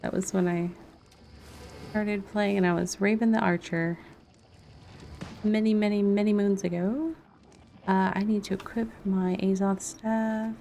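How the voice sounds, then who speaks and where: A woman's voice: medium pitch, fairly even intonation, measured pace, creaky, long pauses, warm tone, a little hiss or hum. A woman chatting casually and informally as she livestreams a video game, laughing easily. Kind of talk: casual livestream gameplay chat.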